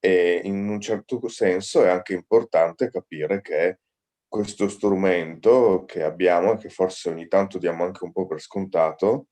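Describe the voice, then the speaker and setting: Deep slow-talking man. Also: dark tone. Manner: hesitant, searching for words, repeats himself. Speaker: guest representing a radio association. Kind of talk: guest answering in an online presentation.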